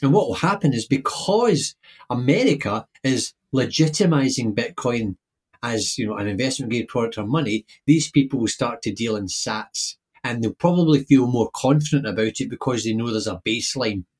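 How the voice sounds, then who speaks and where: A man's voice: mid-range voice, lively intonation, normal speed, slightly rough. A man co-hosting a podcast, talking in an opinionated, emphatic way and often repeating words as he starts a point. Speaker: podcast co-host. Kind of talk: casual chat.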